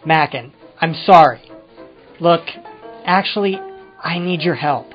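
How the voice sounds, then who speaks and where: A man's voice: a little high, slow. Man playing touchy ex-con in muffled radio drama. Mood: apologetic, pleading. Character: evasive, touchy male ex-convict confessing to a detective. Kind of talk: old-time crime radio drama dialogue. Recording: muffled amateur radio-drama recording with music.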